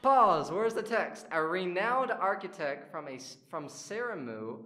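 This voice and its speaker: A woman's voice: fairly low pitch, animated, unhurried. A woman hosting a reaction video with casual, playful, slangy commentary full of exclamations. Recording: echoey room, loud music behind.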